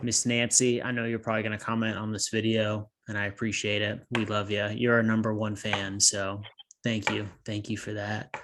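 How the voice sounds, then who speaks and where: A man's voice: lowish voice, little pitch movement, normal speed, background noise. A man co-hosting a casual podcast, rambling and losing his train of thought, with a slightly nasal voice. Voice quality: a bit nasal. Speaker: podcast co-host. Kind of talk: casual chat.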